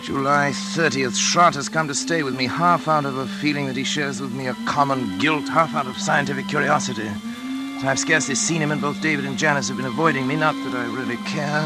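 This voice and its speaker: An actor playing an obsessed, brooding middle-aged scientist in a 1940s radio drama, theatrical and articulate. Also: male voice, mid-range voice, fairly quick, close to an everyday voice. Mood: brooding, obsessive. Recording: old radio recording with loud music.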